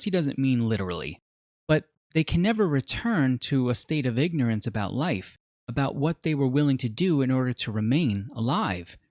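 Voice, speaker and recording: A man's voice: mid-range voice, darkish tone, no echo, clean studio sound. A man narrating a scripted video essay as a media reviewer, telling the story in a dramatic, reflective way.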